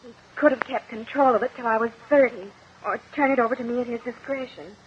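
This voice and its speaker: An actress playing an uneasy, defensive young heiress, hesitant and guarded, in a 1950 radio courtroom drama. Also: female voice, fairly high pitch, fast talker, natural voice. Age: young adult. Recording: muffled, hissy old radio recording.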